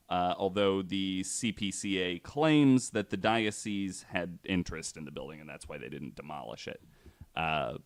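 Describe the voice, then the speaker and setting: Relaxed low-voiced young man. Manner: relaxed, many fillers, voices others' claims with mock quotes. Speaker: podcast host. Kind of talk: casual podcast chat, retelling a story.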